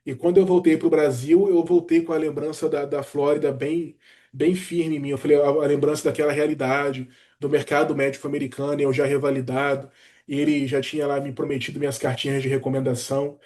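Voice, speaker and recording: A man, telling his own story in an easygoing, animated way, often dropping "cara" and "né". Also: on the high side, a little fast. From Brazil. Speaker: doctor sharing his career story. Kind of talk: casual personal vlog talk.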